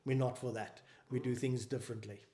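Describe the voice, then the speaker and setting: A man with a South African accent, a charity founder calmly explaining his work in an interview. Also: medium pitch. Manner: measured, explanatory. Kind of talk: interview answers.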